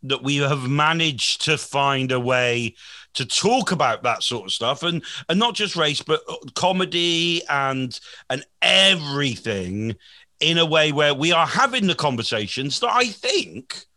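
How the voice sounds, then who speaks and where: A man's voice: a little high, lively intonation, unhurried, bright, forceful tone, dry recording, clean studio sound. A man in his forties, a comedian hosting a podcast, chatting in a rambling, self-deprecating way with frequent restarts. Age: 40s. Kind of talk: casual podcast chat.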